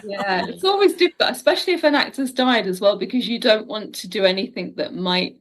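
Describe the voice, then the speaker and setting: Female, mid-pitched voice, interview guest, casual conversational delivery. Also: lively intonation, brisk, fairly bright tone, low-quality recording. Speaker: guest, audio drama writer.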